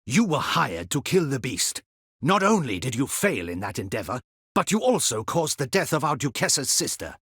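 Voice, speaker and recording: An actor playing a stern, proud, dutiful captain of a ducal guard in a fantasy video game, with a formal, clipped, haughty delivery and a husky voice. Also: male voice, mid-range voice, very animated, normal speed, a bit bright, dry recording, studio quality, exaggerated voice. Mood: cold, accusing.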